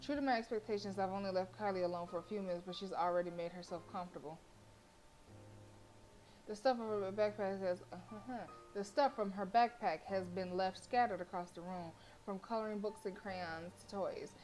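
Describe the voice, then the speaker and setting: A woman's voice: mid-range voice, steady tone, a little fast, darkish tone, rough recording. A woman game streamer reading story text aloud expressively, with playful casual asides. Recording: faint game music behind. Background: game music.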